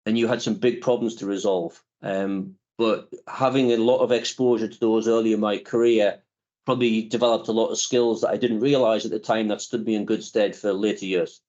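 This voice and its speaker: A man in his fifties, a company chief executive, answering interview questions in a measured, reflective way with frequent pauses. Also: on the low side, fairly even intonation, darkish tone, highs cut off.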